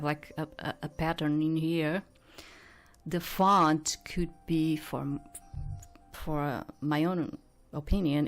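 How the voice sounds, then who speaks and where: A woman giving casual game commentary and reading aloud, thinking out loud in a hesitant, rambling way. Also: sits low. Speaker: host of a game playthrough.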